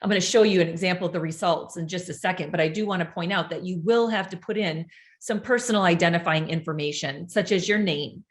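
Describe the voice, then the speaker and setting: A woman giving an informational presentation, brisk and upbeat, explaining things step by step and answering audience questions. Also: on the low side. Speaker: presenter of a benefits seminar.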